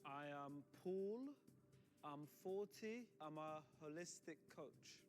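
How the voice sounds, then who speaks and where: A man in his forties, a game show contestant who jokes quickly and leads the questioning. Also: fairly high pitch, lively intonation, faint music behind. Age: 40s. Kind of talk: casual game show banter.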